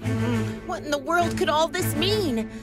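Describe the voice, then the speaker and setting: An actress playing a sassy, bossy, easily exasperated woman in an animated video game, brash and expressive. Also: sits high, measured pace. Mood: baffled. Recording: loud background music.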